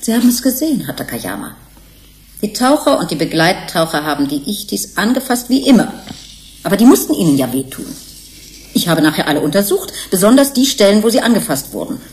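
An actress playing an earnest, caring research physician in a German radio drama, serious and emphatic. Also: female voice, mid-range voice, very animated, a little fast, natural voice. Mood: urgent, regretful.

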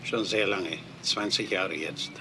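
An actor playing a reserved, terse household servant in a German crime radio play. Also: male voice, low-pitched, fairly even intonation, slow, background noise. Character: reserved, terse long-serving household employee.

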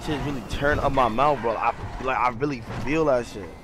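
Slangy male streamer over loud noise. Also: mid-range voice, brisk. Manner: slangy, complaining, peppers talk with "bro". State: sore mouth from aching wisdom tooth. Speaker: game livestreamer. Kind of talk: casual slangy chat while roleplaying online game.

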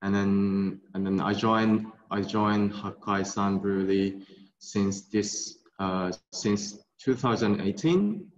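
A young man with a Japanese accent speaking halting English, often repeating words, on a video call. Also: lowish voice. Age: young adult. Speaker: brewery guest. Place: tasting room with bottle shelves. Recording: roomy, dull video call audio.

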